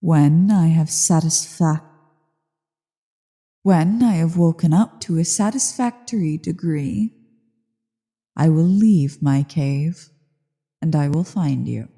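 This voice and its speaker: Woman voicing a slow, gravelly, menacing she-dragon. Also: low-pitched, mellow, heavily acted. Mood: condescending, deliberating. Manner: imperious, deliberate, condescending. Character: ancient evil female dragon, haughty, menacing, newly woken. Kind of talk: ASMR fantasy roleplay. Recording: long cavernous reverb.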